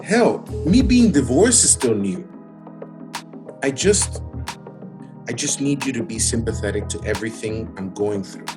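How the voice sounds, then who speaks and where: Earnest divorced lover, pleading over music. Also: male voice, medium pitch, big pitch swings, measured pace, long pauses. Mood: earnest, placating. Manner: earnest, defensive, placating. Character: recently divorced man pleading with his jealous younger lover. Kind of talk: contemporary audio drama acting. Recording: music under the voice.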